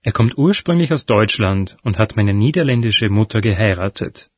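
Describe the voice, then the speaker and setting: A young man reading a scripted part as a student in a listening exercise, calm and even. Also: on the low side, moderate pace, slightly rough, no room sound, studio quality. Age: young adult. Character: young Dutch male student, 22.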